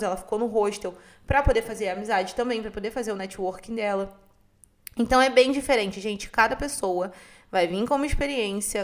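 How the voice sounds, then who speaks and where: Chatty young Carioca woman, higher voice. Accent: Carioca Brazilian Portuguese. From Rio de Janeiro, Brazil. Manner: chatty and expressive, keeps addressing listeners directly. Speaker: podcast host. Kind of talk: casual storytelling monologue.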